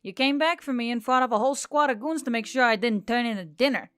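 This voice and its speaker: Chatty, high-voiced female centaur, read by a woman. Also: fast talker, a bit bright, smooth, faint music behind, close to an everyday voice. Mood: earnest, supportive. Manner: chatty, rambling. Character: devoted, rambling, teasing female centaur friend.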